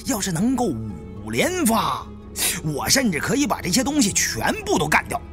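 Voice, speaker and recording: A man's voice: high voice, huge pitch swings, moderate pace, fairly bright tone. Male audiobook narrator, dramatic adventure thriller, suspenseful, extremely varied intonation, light music bed. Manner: theatrical, suspenseful.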